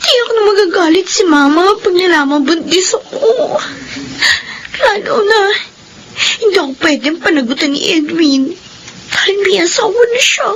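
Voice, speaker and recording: A tearful, frightened daughter. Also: female voice, very high-pitched, expressive, normal speed, a little hiss or hum, poor audio. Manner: tearful, pleading. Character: young daughter, pregnant and frightened.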